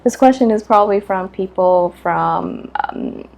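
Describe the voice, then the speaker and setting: A woman from Vietnam with a Vietnamese accent, chatting casually as she answers questions in halting, thoughtful bits with short punchy answers. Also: mid-range voice, gravelly, a little mellow. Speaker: video creator answering questions. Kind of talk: casual chat to camera.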